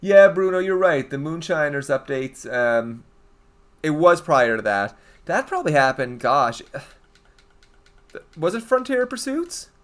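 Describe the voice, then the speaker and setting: A man's voice: fairly high pitch, sing-song, unhurried, a bit bright. A young man from eastern Canada with a Canadian accent, chatting casually and rambling on his game livestream as he answers his viewers. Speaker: game streamer.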